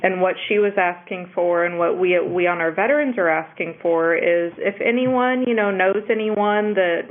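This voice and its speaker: A woman's voice: lowish voice, normal speed. A woman moderating a networking conference call, speaking over a telephone line. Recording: narrow tinny phone conference line.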